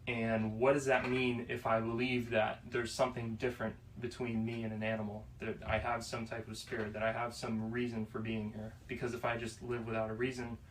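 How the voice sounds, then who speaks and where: A man in his twenties from Florida, musing slowly on faith and choice in a casual discussion. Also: mid-range voice, fairly bright tone. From Florida, USA. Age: 20s. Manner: slow, reflective, pauses mid-thought. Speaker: podcast guest. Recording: distant mic, faint room noise.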